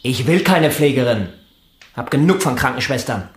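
Peevish invalid gentleman in a roomy echo , played by a man. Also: medium pitch, expressive, normal speed, natural voice. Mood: peevish, stubborn. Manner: peevish, curt. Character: bitter, stubborn invalid gentleman husband. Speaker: radio drama actor. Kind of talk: German radio play acting.

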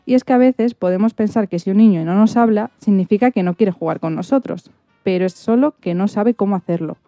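A woman's voice: mid-range voice, fairly quick, dark tone, dry recording, dull audio, soft background music. A woman, an author reading a personal story aloud.

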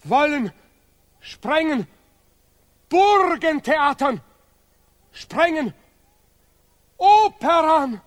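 Male pompous, excitable scholar for radio play; dragging delivery, high register, broken grammar. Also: full character voice. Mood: suspicious, agitated. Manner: declamatory, chopped phrases in deliberately broken grammar. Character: second pompous male laureate scholar, zealous, sentimental, excitable. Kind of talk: absurdist 1970s German radio play.

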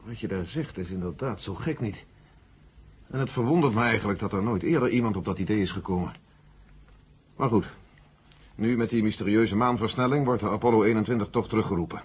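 An actor playing a brusque, authoritative general in a 1970s science fiction radio play. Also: male voice, on the low side, a little fast, pauses often, breathy edge. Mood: conceding, firm. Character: brusque male American general in charge of space mission. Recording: dull, noisy vintage radio play recording.

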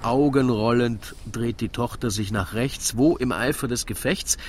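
A man's voice: mid-range voice, a little slow. Male radio narrator over noisy ambience. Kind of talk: scripted rhymed story narration for radio drama.

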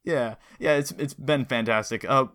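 Bright-voiced man hosting a podcast. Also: medium pitch, moderate pace. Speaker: podcast host. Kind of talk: casual interview chat.

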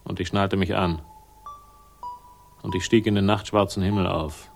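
Low, laconic male investigator, roomy. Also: fairly even intonation, normal speed, vocal fry, faint music behind. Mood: weary narration. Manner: laconic, sardonic. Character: dry, bitter male investigator, quietly hostile. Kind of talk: 1960s German radio crime drama acting and narration. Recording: roomy, distant, faintly rumbling radio recording.

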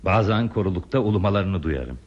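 Low-voiced, lonely railway signalman. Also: animated, brisk, darkish tone, lo-fi sound. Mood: plainspoken, reminiscing. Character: lonely, modest railway signalman at a remote post. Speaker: radio play actor. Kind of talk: Turkish radio theatre acting.

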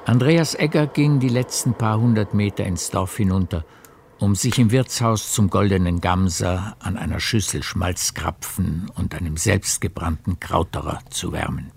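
A man narrating a literary radio play in a measured storytelling manner. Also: low-pitched, gravelly, slightly rough.

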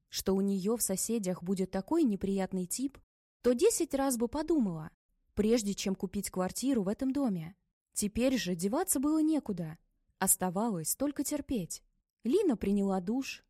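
A woman narrating a story in an even, measured reading voice. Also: medium pitch, no room sound, clean studio sound. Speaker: audiobook narrator. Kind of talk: scripted audiobook narration.

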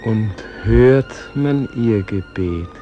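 An actor playing the humble, self-doubting soul of a martyred leader in a solemn radio play, speaking in a reverent, halting, prayerful way. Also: male voice, on the low side, expressive, dull audio, faint music behind. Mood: awed, pleading. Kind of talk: solemn classic German radio play acting.

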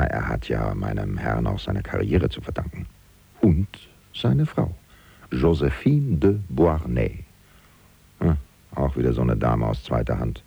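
An actor playing a catty, gossipy French manservant, confiding to the listener in a gravelly, husky voice in a German radio play. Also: male voice, extremely low pitch, dark tone, no echo, exaggerated voice. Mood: wry. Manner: chatty, insinuating, mock-scandalized.

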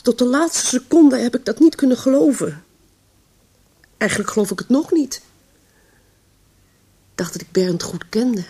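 Bewildered widowed mother, middle-aged, husky, radio drama, grieving. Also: on the high side, expressive, normal speed, pauses often, dark tone. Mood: subdued, sorrowful.

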